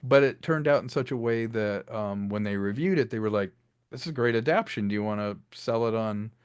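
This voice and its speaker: A man's voice: fairly low pitch, brisk, clean tone, no room sound, clean studio sound. A director and writer in a casual interview, talking about his audio drama and slipping into voiced quotes. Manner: animated, slips into voiced quotes, laughs between thoughts.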